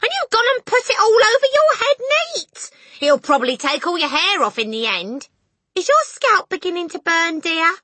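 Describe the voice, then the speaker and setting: Shrill, shrieking scornful wife. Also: female voice, sing-song, moderate pace, sharp tone, no room sound, exaggerated voice. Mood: scornful outrage. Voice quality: shrill, screechy. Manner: scolding, exasperated, dramatic. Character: shrieking, scornful, hysterical middle-aged wife and mother.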